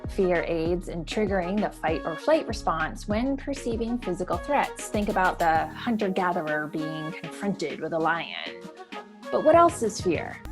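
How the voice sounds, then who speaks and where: A woman hosting a podcast, moving between scripted introduction and interview questions. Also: on the low side. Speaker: podcast host.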